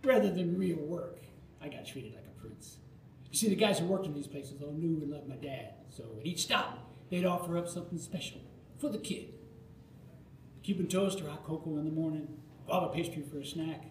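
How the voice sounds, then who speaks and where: An older American man performing a nostalgic autobiographical monologue live, theatrical, with dramatic pauses. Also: a little high. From Florida, United States. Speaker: storyteller. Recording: roomy live recording with faint noise.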